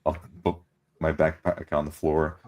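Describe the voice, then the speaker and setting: A man's voice: extremely low pitch, completely flat delivery, a little slow, darkish tone. A man voicing his character in a tabletop roleplay, describing actions and groaning in pain. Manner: groaning, pained in-character talk. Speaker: player.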